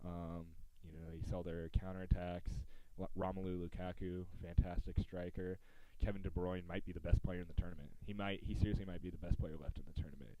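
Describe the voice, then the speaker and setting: A man with a low, mellow American voice hosts a casual sports podcast. He sounds close and dry, with a slightly dull recording that takes the edge off his words. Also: steady tone, fairly quick, few pauses, no room sound. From United States.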